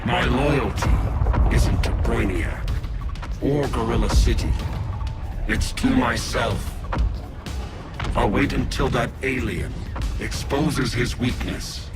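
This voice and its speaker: Man playing a gorilla warlord, scheming, menacing, slow drawl, game cutscene, loud music behind. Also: mid-range voice, lightly acted. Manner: slow, menacing, self-assured. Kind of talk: video game cutscene acting.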